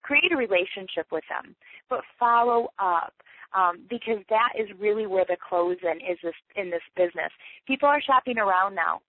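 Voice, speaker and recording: A woman coaching her sales team over a tinny phone line, and the sound is flat and dry with no room around it. She talks in an emphatic, chatty way and drives each point home by repeating it. Her voice sits at an ordinary middle pitch. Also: moderate pace, dry recording. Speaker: direct-sales team leader. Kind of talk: motivational sales training talk. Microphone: phone call.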